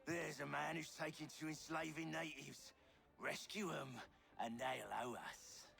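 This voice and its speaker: Very gravelly, shrewd ally. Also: male voice, on the high side, unhurried, a bit bright, faint music behind, full character voice. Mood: calculating. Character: shrewd, plain-spoken male ally scheming a rescue. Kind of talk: dramatic game cutscene acting.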